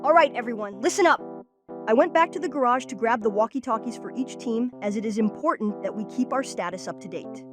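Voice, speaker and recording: A woman plays an earnest young boy squad leader with a high voice, giving orders in an animated comic dub. Music plays clearly behind the lines. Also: fairly quick, lightly acted. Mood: businesslike. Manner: commanding, earnest.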